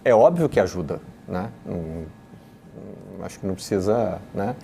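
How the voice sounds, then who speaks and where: Guy hosting a football show, thoughtful and measured, mellow dark voice, slight background hiss. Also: low-pitched, expressive, vocal fry. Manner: measured and reflective, with restarts. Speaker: sports show host. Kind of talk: casual football panel talk.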